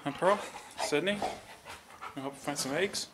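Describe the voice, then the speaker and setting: A man in his thirties talking casually to camera as a vlog host, in a low-key, plain-spoken way. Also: a little slow. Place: outdoors on a misty farm by a river. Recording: outdoor recording with clear ambient noise.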